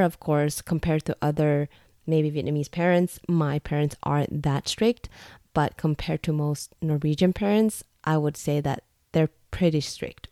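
A woman's voice: sits low, mellow, no room sound, studio quality. A young woman from Norway telling a personal story in a candid, chatty, reflective way. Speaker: vlogger.

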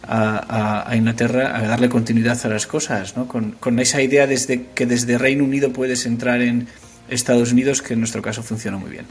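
A man's voice: medium pitch, flat delivery, fairly quick, slightly rough. A man in his forties from Spain with an Asturian accent, a company founder talking casually in an interview, often hesitating and restarting. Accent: Spanish, Asturian. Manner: hesitant, many restarts and fillers.

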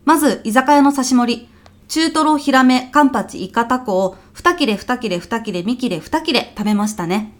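High-voiced, exacting young office organizer played by a woman. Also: expressive, normal speed, close to an everyday voice. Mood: polite, exacting. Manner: polite, businesslike, matter-of-fact. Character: strict female junior office organizer, coolly tallying fines. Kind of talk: comic radio drama acting.

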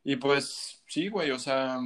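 A young Mexican man talks in a loose, rambling way, full of slang and fillers. His pitch sits in the middle range, and he sounds like a guest musician chatting with an old friend. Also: slow. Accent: Mexican Spanish. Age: young adult. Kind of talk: casual chat.

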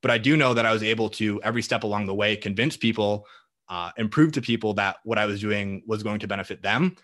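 A man's voice: on the low side, fast, fairly bright tone. A young man as a podcast guest, talking with confident, fluent energy in long answers. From Minnesota. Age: young adult. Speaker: guest, marketing entrepreneur.

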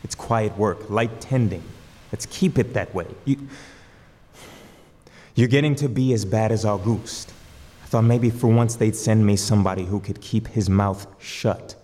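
A man playing a gruff, terse lighthouse headman in a radio drama, stern and dismissive. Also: fairly low pitch, a little slow, mellow. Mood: irritated, curt. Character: gruff, curt big Basque lighthouse headman. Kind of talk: old-time radio drama acting.

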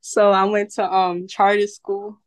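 A woman's voice: mid-range voice, level tone, a little slow, no echo. A young adult woman from Brooklyn, chatting casually as a podcast guest, upbeat and full of fillers. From Brooklyn, New York. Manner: chatty, upbeat, with many "like" and "you know" fillers. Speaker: podcast guest, model and event host. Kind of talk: casual interview chat.

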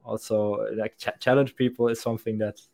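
A man's voice: lowish voice, flat delivery, a little fast, gravelly. A man in his twenties, a sales account executive, answering podcast interview questions in a rambling, thoughtful way, often restarting his sentences. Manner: rambling and thoughtful, frequent restarts and soft laughs.

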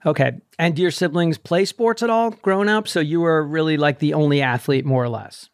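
A man's voice: fairly high pitch, brisk, smooth, no room sound, studio quality. Upbeat older man hosting a podcast. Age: 60s. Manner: upbeat, chatty, loose. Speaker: podcast host. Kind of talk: casual interview chat. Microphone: video call.

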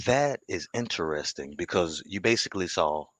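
A man's voice: low-pitched, lively intonation, moderate pace, a bit bright, dry recording. A man in his forties hosting a casual podcast, blunt with short reactions. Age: 40s. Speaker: podcast host.

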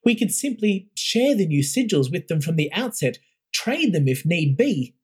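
A woman's voice: lowish voice, sing-song, normal speed, a little mellow, breathy edge, pitched above the performer's own voice, full character voice. A narrator voicing an idealistic young woman who invents runes, speaking earnestly and pleading her case.

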